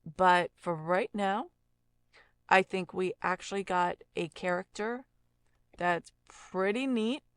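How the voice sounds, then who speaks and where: A woman hosting an informal audio software tutorial, explaining step by step in a conversational, unhurried way. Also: on the low side, clean tone, no echo. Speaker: audio effects tutorial host, voice-over artist.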